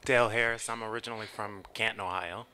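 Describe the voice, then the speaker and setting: Deep, bright-edged young man, a dancer. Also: fairly even intonation, fast, a little hiss or hum. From North Carolina, US. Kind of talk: answering questions at a public talk. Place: outdoor stage before an audience. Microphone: handheld microphone held close.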